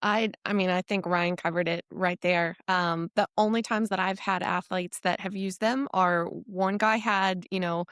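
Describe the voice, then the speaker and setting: A woman, a running coach, speaking on a podcast in a relaxed, conversational way. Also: on the low side, moderate pace, hardly any pauses, clean tone, no echo, clean studio sound. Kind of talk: casual podcast discussion.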